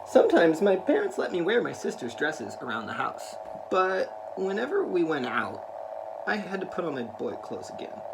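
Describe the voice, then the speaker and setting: A man speaking to children in an earnest, careful, deliberate way, as in a read-aloud. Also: a little high, huge pitch swings, vocal fry, very noisy. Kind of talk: read-aloud of a children's picture book with spoken introduction.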